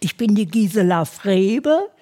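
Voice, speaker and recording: A woman in her sixties answering interview questions in simple, plain sentences with short pauses. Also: mid-range voice, animated, dark tone. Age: 60s. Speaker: guest, retiree.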